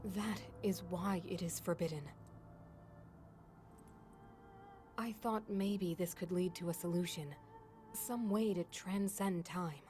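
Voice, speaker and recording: A calm scholar from a fantasy video game, acted by a woman. Her voice sits fairly low for a woman and she explains things in a measured, thoughtful manner. Music plays clearly behind her. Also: close to an everyday voice. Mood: grave, regretful. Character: calm scholarly woman, older sister of a king. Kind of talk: video game cutscene acting.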